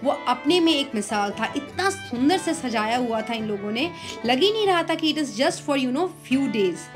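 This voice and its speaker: A woman's voice: high voice, lively intonation, measured pace. A middle-aged woman with an Indian accent chatting enthusiastically as a travel vlogger, switching between two languages. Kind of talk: casual vlog chat mixing Hindi and English. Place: outdoor city market street. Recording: music and bustling outdoor crowd behind.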